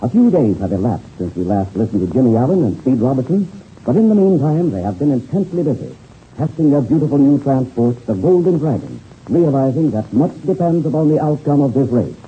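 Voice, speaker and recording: A man's voice: mid-range voice, sing-song, fairly quick, slight background noise. A man narrating a 1930s radio serial in a dramatic, formal announcer's manner, on a muffled old recording.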